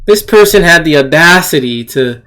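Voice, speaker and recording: A commentator giving blunt, indignant and disdainful off-the-cuff opinions, with a halting, repetitive, emphatic delivery. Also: mid-range voice, lively intonation, a bit bright. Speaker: video commentator.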